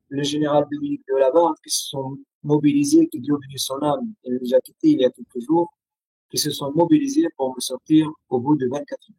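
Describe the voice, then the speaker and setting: A man from Syria with a Syrian accent, Arabic his first language, speaking haltingly with many pauses and restarts as an interview guest on a video call. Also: on the high side, warm tone, slightly rough, no echo, rough recording.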